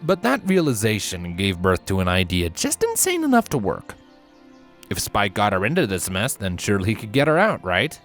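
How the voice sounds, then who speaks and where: Lively low-voiced man narrating a story. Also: normal speed, faint music behind. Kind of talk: expressive scripted story narration.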